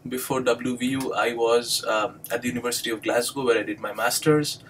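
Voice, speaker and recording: Young Indian English man, native Bengali, measured lecture-style monologue with frequent fillers, mid pitch, faint background noise. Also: fairly even intonation, a bit bright, rough recording. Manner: measured and explanatory, many ums and uhs. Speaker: doctoral student and instructor. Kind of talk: recorded video monologue.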